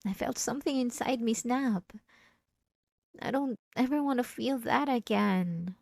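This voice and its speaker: A woman's voice: on the high side, a little slow, vocal fry, darkish tone, no echo, studio quality. A woman playing a possessive, obsessive wife in an intimate, emotional ASMR roleplay, speaking straight to the listener. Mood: pleading, wounded. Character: possessive, obsessive yandere wife, clingy and menacing.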